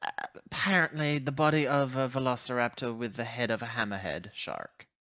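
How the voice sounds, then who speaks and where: A man's voice: medium pitch, brisk, sharp tone, no room sound. A man playing an earnest corporate agent briefing his crew in a tabletop roleplay, hesitating as he searches for words, on a lo-fi recording. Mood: explanatory.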